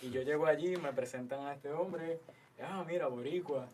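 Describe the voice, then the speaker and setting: A man's voice: on the high side, brisk, darkish tone, recorded from a distance, a little hiss or hum. A man from Puerto Rico, a band musician, chatting casually in an interview and laughing easily as he switches into Spanish.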